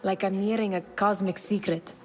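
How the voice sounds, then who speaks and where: A woman's voice: mid-range voice, steady tone, moderate pace, a little mellow, faint music behind, natural voice. An actress playing a curious, dreamy, teasing young woman in a video game, speaking with playful wonder in a reverberant space. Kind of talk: dramatic video game cutscene acting.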